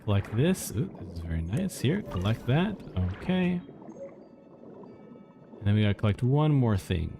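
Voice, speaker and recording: A man's voice: mid-range voice, very animated, normal speed, vocal fry, long pauses. A man giving casual live commentary as he plays a game, muttering to himself and repeating short phrases. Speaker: game streamer. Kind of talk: casual live reaction commentary over gameplay. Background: game music.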